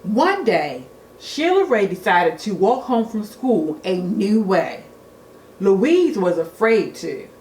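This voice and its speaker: A woman reading a children's storybook aloud in an expressive, deliberate way, like a teacher at storytime. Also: mid-range voice. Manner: expressive, deliberate, engages young listeners with questions. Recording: echoey room with faint noise.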